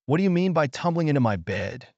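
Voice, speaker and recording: A man's voice: on the high side, big pitch swings, fast, a little mellow, no room sound, lo-fi sound, voice pushed up. A man playing Samuel Whiskers, a snappish old rat. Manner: snappish, demanding. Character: gruff, greedy old male rat.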